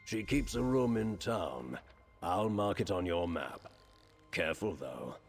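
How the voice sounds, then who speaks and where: A man's voice: sits low, expressive, takes it slowly, husky, full character voice. A man playing a chatty, slyly menacing crime lord in a fantasy video game, with an insinuating, falsely courteous manner. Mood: sly, bargaining. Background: background music.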